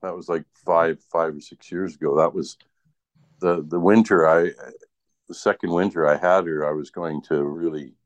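Casual male talker for a chat show: low voice, dry sound, rambling anecdotal delivery. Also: fairly even intonation, moderate pace, dry recording. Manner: rambling, often tags sentences with "right?".

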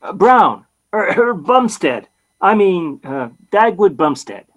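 Flustered, stammering customer, highish voice. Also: male voice, big pitch swings, slow pace, fairly bright tone, heavily acted. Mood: nervous stammering. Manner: stammering, hesitant, sheepish. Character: flustered, bumbling male customer bluffing as big-game hunter. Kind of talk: old-time radio comedy acting.

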